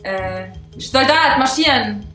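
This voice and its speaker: A woman's voice: high voice, lively intonation, takes it slowly, pushed and bright. A woman in her twenties casually calling out guesses in a party game. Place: bedroom, indoors. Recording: roomy echo, faint music.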